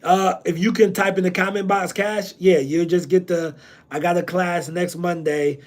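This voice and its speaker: A man's voice: high voice, fairly even intonation, moderate pace. A man from New Jersey hosting a loose livestream Q&A on real estate, slangy and repeating himself with many ums. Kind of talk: casual livestream Q&A.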